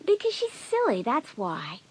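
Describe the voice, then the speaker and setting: Smug, husky teenage girl. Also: high voice, wildly swooping pitch, measured pace, heavily acted. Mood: smug, dismissive. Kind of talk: dramatised audiobook acting.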